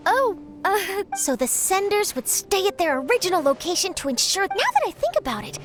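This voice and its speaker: Eager, very high-voiced young reporter. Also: female voice, normal speed, over music. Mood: thoughtful. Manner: animated, hesitates while thinking aloud. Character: eager, chatty young female news reporter. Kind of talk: video game voice acting.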